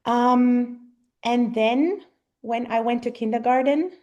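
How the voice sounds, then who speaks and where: A German-born woman in her thirties, a native German speaker, answering interview questions thoughtfully with frequent pauses and ums. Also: fairly high pitch, dark tone, lo-fi sound. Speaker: guest mother. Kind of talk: casual interview conversation.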